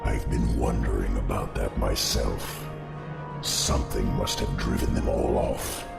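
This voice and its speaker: A man voicing an ancient undead insectoid lord for a video game, grave and wary, with a cavernous gravelly voice. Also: extremely low pitch, fairly even intonation, unhurried, exaggerated voice. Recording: echoing voice under loud music.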